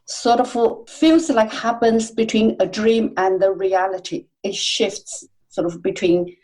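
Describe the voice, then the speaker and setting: A woman speaking as a guest on a podcast interview, soft-spoken and hesitant, with many fillers. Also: mid-range voice, steady tone, slow, low-quality recording. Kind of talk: casual interview chat.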